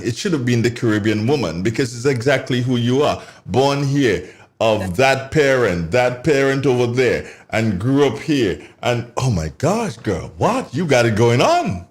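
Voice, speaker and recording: A man's voice: medium pitch, animated, moderate pace, few pauses. A man hosting a morning radio show, chatty and teasing, often repeating his phrases. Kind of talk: casual radio interview chat.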